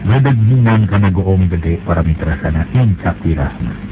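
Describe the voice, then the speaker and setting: A man narrating a traditional folk tale, jumping up in pitch when he voices the characters. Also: moderate pace, background noise. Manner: lively storytelling, jumps in pitch for characters. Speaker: storyteller.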